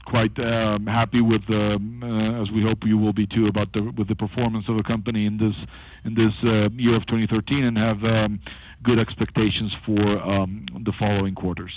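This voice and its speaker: A man presenting as a company executive on a conference call, speaking haltingly with frequent long filled pauses over a telephone. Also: on the low side, little pitch movement, slight background noise. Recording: thin, narrow-band telephone conference line.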